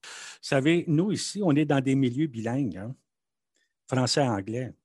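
A Canadian French man speaks frankly and with emphasis, his voice a little husky. The sound is dry and close, with no room around it. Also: medium pitch, lively intonation, normal speed, a little mellow, no echo. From Manitoba, Canada. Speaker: interviewee. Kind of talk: interview answers.

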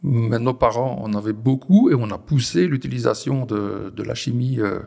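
A man's voice: mid-range voice, normal speed, vocal fry, a little mellow. A man in his forties from the Belgian Ardennes, a farmer explaining his work in an interview with frequent restarts and fillers. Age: 40s. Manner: hesitant, many restarts and fillers. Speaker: farmer guest. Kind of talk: conversational interview. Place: on a farm.

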